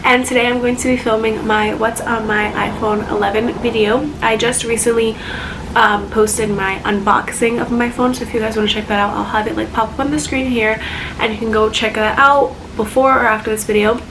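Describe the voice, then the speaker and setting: Young woman, higher voice, a little congested, filler-heavy casual chat, noisy home recording. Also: little pitch movement, brisk. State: slightly congested. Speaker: YouTuber. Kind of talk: casual phone tour vlog.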